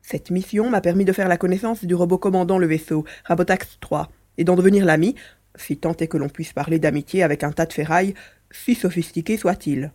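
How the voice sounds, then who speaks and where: Woman voicing a mischievous, mellow mouse-beaver alien. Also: lowish voice, fairly quick, natural voice. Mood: storytelling. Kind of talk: French audio drama dialogue.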